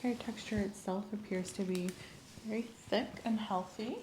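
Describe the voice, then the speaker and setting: A soft-spoken woman, often whispering, doing an ASMR medical exam roleplay in a gentle, clinical manner. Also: medium pitch, fairly even intonation, normal speed, noisy background. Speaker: ASMR performer playing a medical examiner.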